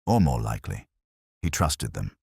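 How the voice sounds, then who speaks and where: A brilliant male detective, played by a male narrator with an extremely low voice and lively intonation. He is composed and probing, setting out each deduction in a measured, cryptic way. It is storybook mystery dialogue, recorded close and dry. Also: vocal fry, slightly rough, no room sound, full character voice. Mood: measured, certain.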